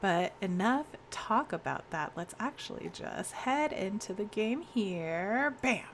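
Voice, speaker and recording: A woman's voice: medium pitch, lively intonation, slow pace, a little hiss or hum. A young woman streaming a game, chatty and laughing often, greeting viewers by name, her voice congested with a sore throat. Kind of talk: casual game stream chat.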